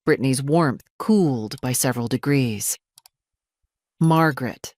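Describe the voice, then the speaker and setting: A woman's voice: low-pitched, a little slow, dry recording, studio quality. A woman narrating a scripted audio story in the first person.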